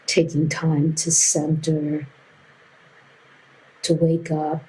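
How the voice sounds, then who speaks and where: A woman teaching a guided meditation in a gentle, unhurried way with long pauses. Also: low-pitched, completely flat delivery, rough recording.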